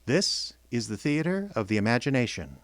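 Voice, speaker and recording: A male announcer reads scripted radio theater copy with a very animated, rising and falling delivery. His phrasing is deliberate and theatrical. The sound is dry, with almost no room. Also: medium pitch, no room sound. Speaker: announcer and host.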